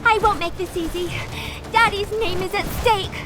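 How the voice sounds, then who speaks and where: Game fighter: proud young noblewoman type, very high pitch, imperious formal delivery, music behind. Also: steady tone, normal speed. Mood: defiant. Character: proud, haughty young rich lady fighter. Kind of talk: English-dubbed video game cutscene acting.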